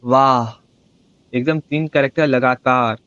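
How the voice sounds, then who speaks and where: A man's voice: medium pitch, rapid-fire, fairly bright tone, clean tone, no room sound. A man chatting casually as a live game streamer. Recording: dull mic with game music behind. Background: game music.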